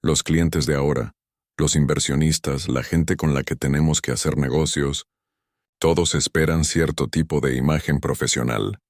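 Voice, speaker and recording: A man doing a grown son who runs the company, pleading and hesitant in a storybook drama, his voice sitting extremely low. The sound is close and dry, with no room around it. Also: fairly even intonation, normal speed, no echo. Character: grown son, defensive young company director.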